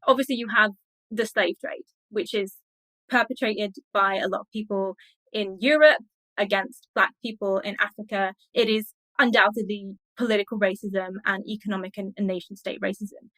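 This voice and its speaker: A woman's voice: medium pitch, steady tone, moderate pace, a bit bright, dry recording, poor audio. A woman speaking as an academic guest in an interview, explaining things in a thoughtful, scholarly way.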